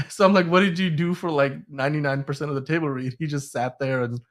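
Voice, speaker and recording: A man's voice: on the high side, steady tone, quick pace. A man hosting a podcast in a casual, rambling, chatty way, talking through entertainment news. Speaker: host of a film news podcast.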